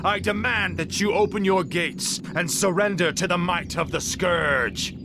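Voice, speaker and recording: An actor playing a cold, arrogant fallen prince turned undead death knight, menacing and formal, in a fantasy game cutscene. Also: male voice, sits high, unhurried, heavy background noise. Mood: imperious, threatening.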